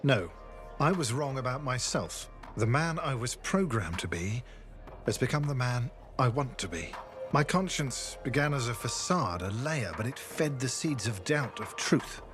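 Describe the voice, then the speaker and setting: A man plays a double agent weighed down by remorse in a video game drama. He speaks gravely and carefully in a mid-pitched voice, with clear music underneath. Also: expressive, measured pace, lightly acted. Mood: remorseful, earnest. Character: brainwashed spy, remorseful husband, grave and haunted. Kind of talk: video game cutscene acting.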